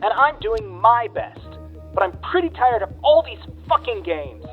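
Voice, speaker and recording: A man's voice: very high-pitched, lively intonation, a little slow, soft background music. An actor playing a frustrated, distrustful young man, blunt and sweary, in a conversational audio drama over a dull phone-call line. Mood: angry, exasperated.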